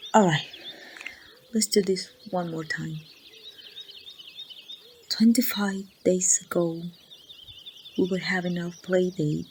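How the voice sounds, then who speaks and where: Woman acting as grieving young woman, wistful ASMR roleplay, very slow halting delivery, lowish pitch, birdsong and noise behind. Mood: wistful, teasing, then fond reminiscing. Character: grieving young woman confiding in lost best friend. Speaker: amateur voice actor.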